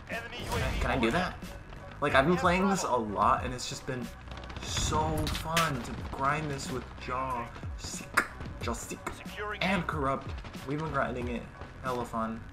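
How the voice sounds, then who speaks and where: A raspy young man who sounds sick and hoarse, talking casually over a first-person shooter. He chats on a headset in a room at home while game gunfire plays behind him. Loud music sits under his voice, and his pitch stays in an ordinary middle range. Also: unhurried, long pauses. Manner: casual, slangy, excitable. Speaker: gaming YouTuber. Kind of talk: live gameplay commentary. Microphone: headset with webcam.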